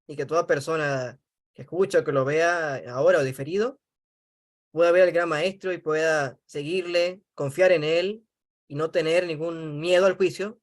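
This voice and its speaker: Venezuelan guest, elder, friendly talker who prays fervently, slightly high voice. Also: male voice. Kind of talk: casual introduction and spoken prayer.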